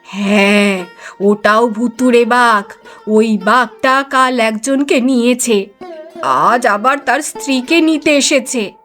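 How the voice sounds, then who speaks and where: Lady doing ominous cartoon village aunty, scolding, takes her time, kinda high voice, music behind. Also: slow, a bit bright. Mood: insistent, ominous. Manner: foreboding, scolding, emphatic. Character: ominous older village aunt warning of a ghost tiger. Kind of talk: animated cartoon storytelling.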